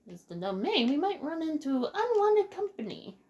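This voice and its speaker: A woman's voice: high voice, very animated, moderate pace, a little mellow. A woman giving casual, off-the-cuff gameplay commentary as the host of a let's play.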